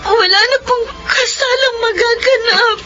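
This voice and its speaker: Young woman doing a distraught pregnant girl, very high and bright, slow, tearful, dull lo-fi recording. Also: faint music behind, exaggerated voice. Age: young adult. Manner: tearful, pleading. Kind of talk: radio drama acting. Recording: dull lo-fi sound.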